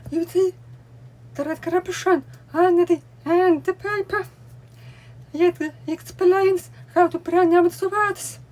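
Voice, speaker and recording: A woman with a Russian accent, a native Russian speaker, explaining at home in a deliberate way while she signs along. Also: very high-pitched, steady tone, darkish tone. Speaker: language learning vlogger. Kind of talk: explaining while showing books. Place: home room with bookcase, indoors.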